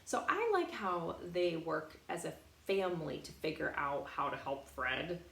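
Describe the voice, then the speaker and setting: A woman reading a picture book aloud in a playful, expressive way, pausing to put questions directly to young children. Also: low voice, creaky. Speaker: storytime reader for young children. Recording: roomy echo, faint background noise.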